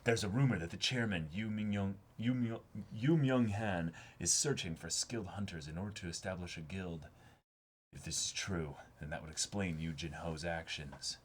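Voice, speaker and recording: A man's voice: low-pitched, moderate pace, slight background noise. A man co-hosting a comic read-along stream, reading dialogue aloud and reacting casually in a jokey, mock-dramatic, sweary way.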